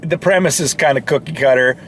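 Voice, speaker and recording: A man's voice: a little high, a little fast, a bit bright, a little hiss or hum. A man co-hosting a film review in casual, sweary chat, with a blunt, opinionated way of talking. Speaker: movie reviewer, co-host. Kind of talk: casual profane movie chat. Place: inside a car.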